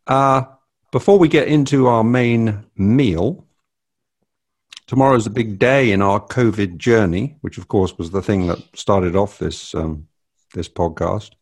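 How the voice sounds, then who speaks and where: A man's voice: lowish voice, a little slow, breathy edge. An older man hosting a casual family podcast, rambling and hesitant with many fillers. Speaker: podcast host.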